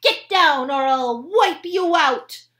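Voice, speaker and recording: A woman voicing a bold, bossy young girl who shouts in a shrill, high voice. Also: lively intonation, slow, exaggerated voice. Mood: fierce.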